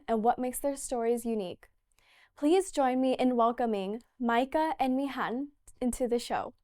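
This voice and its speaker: Young female host, slightly high pitch, casual and chatty. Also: warm tone. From United States. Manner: chatty, fillers like "like". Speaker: talk show host. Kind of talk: hosting an interview.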